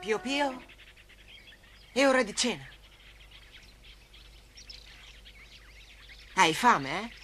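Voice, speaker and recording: A performer playing a kind, innocent adolescent boy with an earnest, reassuring manner in an animated Bible story. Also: mid-range voice, unhurried, a little hiss or hum. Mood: gentle, playful. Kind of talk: dubbed Italian animated cartoon acting.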